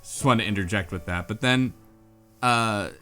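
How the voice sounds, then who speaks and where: A man's voice: fairly low pitch, moderate pace, bright, forceful tone. A man co-hosting a podcast in casual chat, interjecting with questions and comments. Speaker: podcast co-host. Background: music under the talk.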